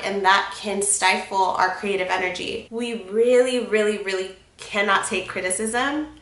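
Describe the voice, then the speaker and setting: A woman's voice: mid-range voice, pushed and bright, roomy sound. A woman in her twenties with a cold and a congested voice, chatting frankly to camera from her bedroom and swearing casually. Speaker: vlogger. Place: bedroom, sitting on a bed.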